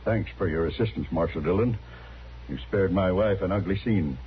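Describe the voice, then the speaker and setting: An actor playing a courteous, protective husband, speaking stiffly and politely in an old Western radio drama. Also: male voice, sits low, lightly acted. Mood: apologetic, polite. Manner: stiff, polite, measured wording. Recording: muffled, faintly noisy old radio recording.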